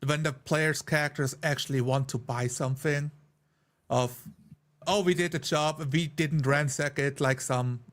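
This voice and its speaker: Higher-voiced man in casual game chat. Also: steady tone, measured pace, fairly bright tone.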